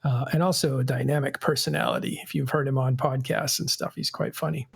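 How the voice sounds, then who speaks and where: A man's voice: medium pitch, fairly even intonation, fairly quick, vocal fry, slightly rough. A man hosting a casual panel podcast, rambling and correcting himself as he goes. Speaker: podcast host.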